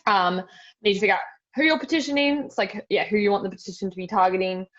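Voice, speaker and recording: A woman's voice: mid-range voice, quick pace. An Australian woman giving an informal step-by-step online tutorial in a casual, chatty way. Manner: casual, chatty, frequent "like" and "super". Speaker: webinar presenter giving a demonstration. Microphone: video call. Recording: dull lo-fi video call audio.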